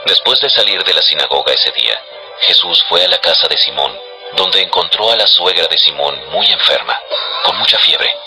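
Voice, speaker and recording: A man with a deep, low voice reads scripted narration for a dramatized audio Bible. Music plays clearly underneath his storytelling. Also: lively intonation, normal speed, bright, forceful tone, low-quality recording.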